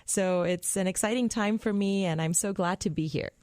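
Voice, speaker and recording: A woman's voice: mid-range voice, moderate pace, darkish tone, no room sound. Upbeat woman doctor explaining in a studio. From India. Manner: upbeat, explains simply to patients. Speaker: kidney doctor guest. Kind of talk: explanatory health talk. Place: radio studio. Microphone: studio boom mic.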